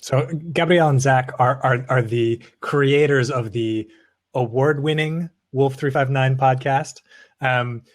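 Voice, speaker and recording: A man co-hosting a casual interview podcast, speaking haltingly and often repeating words. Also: medium pitch, steady tone. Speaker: podcast co-host.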